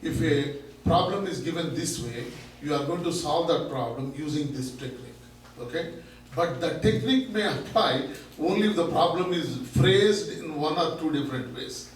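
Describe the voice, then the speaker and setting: A man giving a public talk to an audience, loud and teacherly, explaining with rhetorical questions. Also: fairly high pitch, lively intonation, normal speed. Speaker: guest speaker, learning center founder. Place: large echoey room. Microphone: microphone at a distance. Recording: echoey room from far off, faint noise.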